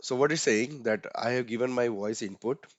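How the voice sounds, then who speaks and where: Man, Indian accent, casual step-by-step explainer, fillers, mid pitch, dry muffled call audio. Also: moderate pace, no room sound. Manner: casual, many fillers, frequent tag "right?". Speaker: instructor. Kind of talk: explaining software settings step by step.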